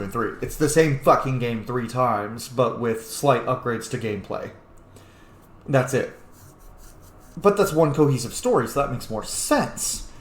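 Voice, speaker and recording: A man hosts a gameplay session in a casual, rambling and opinionated way, swearing freely. His voice sits at a middle pitch, recorded in an echoey room with faint noise. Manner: opinionated, profane. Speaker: gameplay host.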